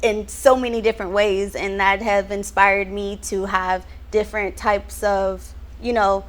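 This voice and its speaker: A woman's voice: mid-range voice, flat delivery, measured pace. A young woman from Houston, a rapper and songwriter, chatting upbeat in an interview and thinking aloud with frequent ums. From Houston, Texas. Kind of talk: casual interview chat.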